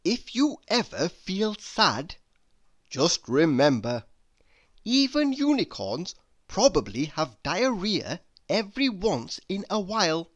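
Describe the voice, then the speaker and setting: A man reading humorous quotes aloud in a deliberate, mock-inspirational manner. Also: high voice, big pitch swings, muffled recording. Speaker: reader.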